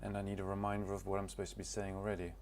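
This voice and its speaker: A man's voice: deep voice, completely flat delivery, rapid-fire, a little mellow, a little hiss or hum. A man from Cornwall, introducing himself to camera in a casual, hesitant way, often stopping to search for words. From Cornwall, England. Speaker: language learner.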